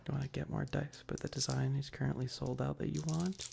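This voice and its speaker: A soft-spoken, partly whispering ASMR streamer chatting casually and playfully with viewers into a close microphone, often repeating phrases. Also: mid-range voice, little pitch movement, brisk, vocal fry, background noise. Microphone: close adjustable microphone.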